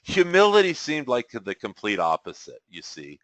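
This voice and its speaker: A man with a deep voice leading a spiritual webinar over a video call, talking casually, rambling and correcting himself and chuckling often. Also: huge pitch swings, normal speed, a bit bright, no echo, rough recording. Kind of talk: casual teaching talk.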